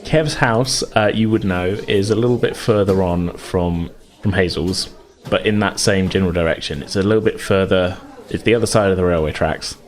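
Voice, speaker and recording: A man's voice: low-pitched, normal speed. A British man running a tabletop game, improvising his narration with hesitant, hedging phrasing. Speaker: game master.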